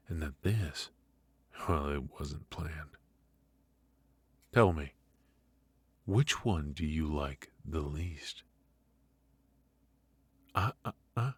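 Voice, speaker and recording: A man playing a teasing, menacing mafia boss in an ASMR roleplay, speaking in a halting, mock-polite, softly taunting way. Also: a bass voice, slightly rough, no echo, exaggerated voice.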